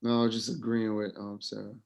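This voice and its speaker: A man's voice: fairly low pitch, completely flat delivery, quick pace, creaky, a little mellow. A man in a casual group discussion, sharing acting experience in a loose, colloquial way.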